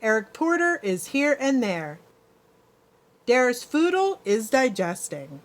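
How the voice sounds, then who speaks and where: A woman voicing a menacing female monster teacher in a children's storybook, taunting and smugly gleeful in a drawn-out delivery. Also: on the high side, big pitch swings, pitched above the performer's own voice. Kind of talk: storybook read-aloud for children.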